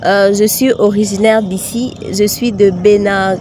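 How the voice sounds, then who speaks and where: A woman's voice: mid-range voice, steady tone, measured pace, a little mellow, a little hiss or hum. A teenage girl, a top exam student, politely introducing herself. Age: late teens.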